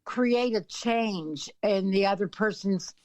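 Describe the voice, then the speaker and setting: Slow-talking older Canadian woman guest. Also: medium pitch, darkish tone, no room sound. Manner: slow, thoughtful, many fillers and throat clearing. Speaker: author and influence consultant. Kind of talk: interview guest.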